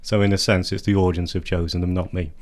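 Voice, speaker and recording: Deep-voiced poet on radio. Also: male voice, level tone, fast talker, a little mellow. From England. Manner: chatty, with light laughs. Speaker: poet guest. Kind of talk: radio interview and poetry reading. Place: radio studio.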